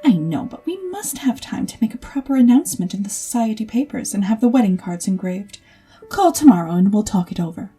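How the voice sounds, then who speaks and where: A woman's voice: medium pitch, sing-song, fairly quick, dark tone, breathy edge, soft background music. A woman playing a spoiled rich young society lady in an audio drama, airy and imperious. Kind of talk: theatrical audio drama acting.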